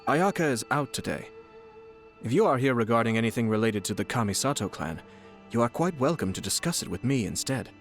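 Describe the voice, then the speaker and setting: A man's voice: medium pitch, lively intonation, a little fast, lightly acted. An actor playing a courteous young nobleman and clan head in a video game, formal, eloquent and composed. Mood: gracious, composed. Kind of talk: video game character acting. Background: music.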